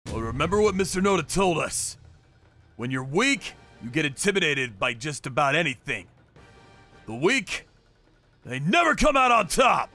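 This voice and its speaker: A brash young anime gangster with a sharp, forceful tone. His pitch swings widely and has a gravelly rasp. He is hot-headed and excitable, with faint music behind him. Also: moderate pace, exaggerated voice. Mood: fired up. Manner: emphatic, excitable delivery. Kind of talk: anime voice acting.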